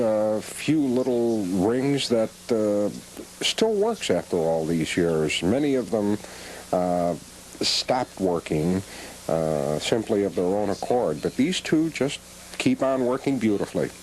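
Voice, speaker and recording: Low-voiced man explaining collectibles, hissy tape. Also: big pitch swings, a little mellow, rough recording. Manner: measured, explains at length with many "uh"s. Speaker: radio premium collector guest. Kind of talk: casual TV chat about collectibles. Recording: old 1980s cable TV audio with hiss.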